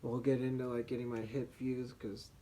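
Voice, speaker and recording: A man with a soft voice from slightly damaged vocal cords, telling a personal story as a vlogger in a halting way, with pauses and restarts. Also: medium pitch, flat delivery, a little hiss or hum.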